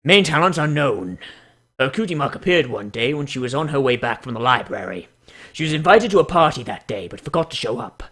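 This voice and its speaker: A man putting on a solemn, measured narrator for a mock-dramatic storybook reading of a pony character profile. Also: mid-range voice, sing-song, a bit bright.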